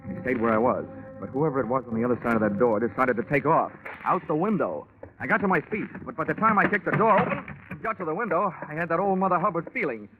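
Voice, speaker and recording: A man's voice: a little high, animated, fast, music in the background, lightly acted. An American man playing a brash, wisecracking hard-boiled investigator in a 1940s radio drama, with a wry, slangy delivery. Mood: gritty, narrating. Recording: tinny, noisy 1940s radio recording.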